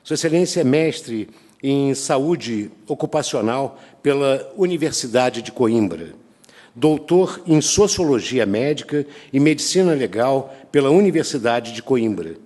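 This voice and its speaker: A man introduces a speaker with ceremonious formality, pausing often between words. His mid-pitched voice rings with the long echo of a big auditorium. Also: a little mellow. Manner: ceremonious, hesitant, with pauses. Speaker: session chair. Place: conference auditorium. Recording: reverberant lecture hall.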